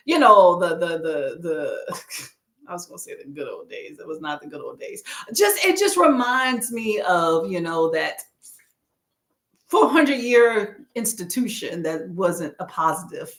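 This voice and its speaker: A woman's voice: medium pitch, a bit bright, reverberant. A woman in her thirties from Texas with a light Southern accent, chatting casually and laughing often as she hosts a book livestream. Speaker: booktube livestream host. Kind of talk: casual live chat.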